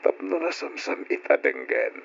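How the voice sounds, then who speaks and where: An actor playing a domineering, wealthy older suitor with an Ilocano accent, in old radio drama style. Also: male voice, a bass voice, huge pitch swings, normal speed, vocal fry, a little hiss or hum, full character voice. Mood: insinuating, insistent. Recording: dull lo-fi radio drama recording.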